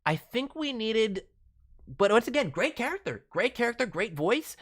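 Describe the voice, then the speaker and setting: A woman's voice: mid-range voice, fairly bright tone. A woman hosting a livestream critique as a voice director, giving encouraging, emphatic feedback. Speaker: host, voice director reviewing demo reels. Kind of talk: spoken critique, livestream commentary.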